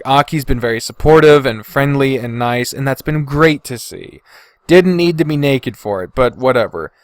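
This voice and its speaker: A man's voice: medium pitch, dry recording, clean studio sound. A man hosting a podcast, giving blunt, casual opinions with plenty of swearing and exasperated emphasis. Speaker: podcast host.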